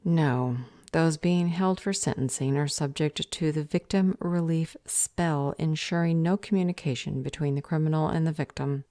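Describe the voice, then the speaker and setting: Woman acting a stern, low-voiced magical official. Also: moderate pace, hardly any pauses, dry recording, clean studio sound, lightly acted. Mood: deadpan. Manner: deadpan, clipped, bureaucratic. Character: stern, bored, sarcastic female magical council official. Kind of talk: fantasy roleplay audio drama acting.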